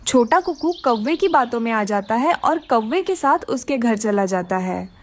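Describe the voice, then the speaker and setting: A woman's voice: a little high, expressive, moderate pace. A woman narrating a scripted children's story.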